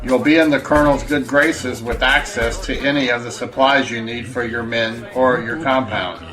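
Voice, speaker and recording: An actor in an audio drama playing an authoritarian government commander with a measured, businesslike manner. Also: male voice, mid-range voice, steady tone, music in the background, natural voice, low-quality recording. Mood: stern, businesslike.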